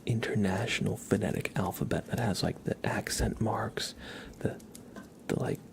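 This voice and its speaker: A man whispering softly and breathily close to the mic as he hosts an ASMR livestream, chatting casually and gently repeating words. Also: on the low side, gravelly, a little hiss or hum. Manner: soft, repeats words and names slowly.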